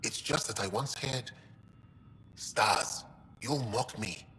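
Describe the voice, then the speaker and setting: A man's voice: fairly low pitch, lively intonation, takes it slowly, bright, forceful tone, a little hiss or hum, heavily acted. An actor playing an earnest, thoughtful male alien scientist in a video game, explaining things a little hesitantly. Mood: hesitant, confiding. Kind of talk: video game dialogue acting. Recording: long reverb, music underneath.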